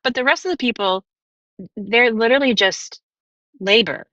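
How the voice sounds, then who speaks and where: A woman's voice: fairly high pitch, moderate pace, fairly bright tone, no echo, lo-fi sound. A woman talking animatedly as a panel guest in casual chat, often breaking into laughs.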